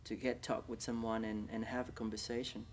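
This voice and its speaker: Man with Vietnamese accent, halting. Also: fairly low pitch, level tone, slight background noise. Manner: halting, many restarts, second-language English. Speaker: interviewee. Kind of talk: casual recollection.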